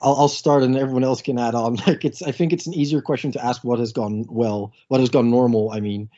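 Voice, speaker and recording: A man giving casual interview answers as a guest, halting and correcting himself as he goes. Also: mid-range voice, fairly even intonation, warm tone. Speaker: panel guest, pantomime board member. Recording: muffled lo-fi audio.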